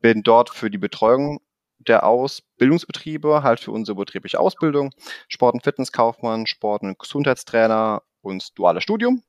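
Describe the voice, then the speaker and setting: A middle-aged man from the Rhineland with a mixed Swabian and Rhineland accent, talking fast and energetically as a podcast guest, with plenty of fillers and self-mocking jokes. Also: mid-range voice, lively intonation, dry recording, clean studio sound. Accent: mix of Swabian and Rhineland German. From Koblenz, Rhineland, Germany. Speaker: podcast guest, training institute manager. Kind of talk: casual interview talk.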